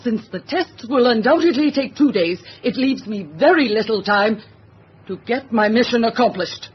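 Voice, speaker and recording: A woman's voice: a little high, animated, normal speed, husky. A woman playing an imperious, insistent government official in an old-time radio drama, formal and demanding. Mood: insistent, menacing. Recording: dull lo-fi radio drama, faint noise.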